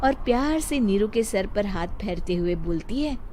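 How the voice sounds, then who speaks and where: A woman's voice: mid-range voice, animated, normal speed, mellow, a little hiss or hum. A woman reading scripted narration for a moral bedtime story.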